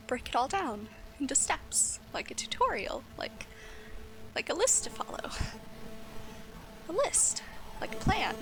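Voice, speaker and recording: A woman's voice: high-pitched, background noise, full character voice. An actress playing an anxious, self-berating young girl in an audio drama, muttering breathily to herself.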